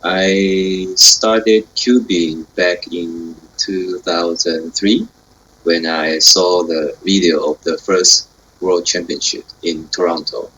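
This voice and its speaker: A man introducing himself slowly and deliberately on a video call. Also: low voice, steady tone, fairly bright tone, breathy edge. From Taiwan. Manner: slow, deliberate. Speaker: committee member. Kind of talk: self-introduction in a meeting. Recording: muffled video-call audio.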